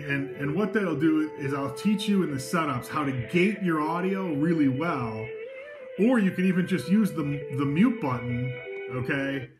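A man giving a casual, loose product demonstration indoors, talking from behind a handheld camera with many fillers and restarts. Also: fairly high pitch. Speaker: equipment demonstrator. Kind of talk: informal product demo. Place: indoors at a table of devices.

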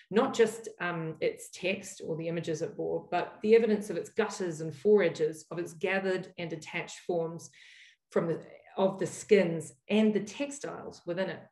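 Middle-aged New Zealand woman, academic giving a workshop talk; low-pitched, careful, self-correcting; roomy video-call sound. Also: a little mellow. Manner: thoughtful, frequent um and self-corrections. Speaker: academic speaker opening a workshop. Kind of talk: scholarly lecture over slides.

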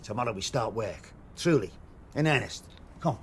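An actor playing a zealous political activist in a radio drama, declamatory and pompous. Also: male voice, on the low side, lively intonation, moderate pace, slight background noise, close to an everyday voice. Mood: firm, soothing. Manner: declamatory, rhetorical.

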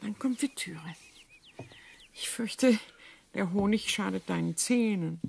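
An actress playing a genteel, coolly calculating older wife in a radio drama, speaking precisely and formally. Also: female voice, mid-range voice, slow pace, vocal fry, darkish tone, husky, exaggerated voice. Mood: politely attentive. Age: middle-aged or older.

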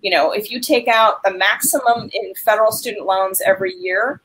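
A woman's voice: mid-range voice, moderate pace, a bit bright, slight background noise, poor audio. A woman in her thirties on a video call, giving earnest, direct advice as a financial aid advisor in a webinar.

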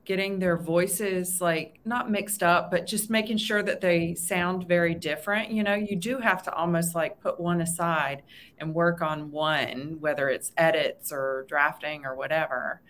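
A middle-aged woman chats casually on a video call from her home, her words coming with quick asides. A faint low rumble sits behind her voice. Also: a bit bright. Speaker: book club host. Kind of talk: casual chat. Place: home room.

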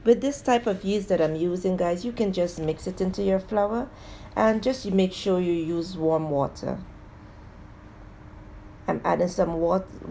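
Woman with a low, mellow voice walking through a recipe. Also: slight background noise. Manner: plain, steady instructional tone addressing viewers as guys. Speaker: home cooking show host. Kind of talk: step-by-step recipe narration.

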